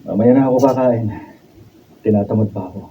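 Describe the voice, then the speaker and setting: Actor as weary Filipino husband, distant mic. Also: male voice, fairly low pitch, a little fast, natural voice. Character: weary, fed-up husband gone cold toward his wife. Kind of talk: Filipino radio drama acting. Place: radio drama recording session. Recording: dull, distant, slightly noisy raw video.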